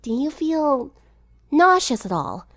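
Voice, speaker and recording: A woman's voice: high-pitched, big pitch swings, slow, voice pushed up, exaggerated voice. A woman voicing an earnest, inquisitive teenage girl who speaks politely and thoughtfully in a story reading. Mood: concerned.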